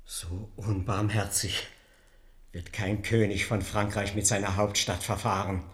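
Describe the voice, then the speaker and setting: Slow, low-voiced solemn archbishop. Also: male voice, level tone, fairly bright tone. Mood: solemn, reproachful. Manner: formal, pious. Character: solemn archbishop envoy pleading with his king. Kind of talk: German radio drama acting.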